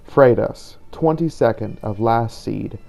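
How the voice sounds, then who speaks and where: A man narrating a fantasy game story in character, in a measured, scripted delivery like a journal. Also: mid-range voice, creaky, a little mellow.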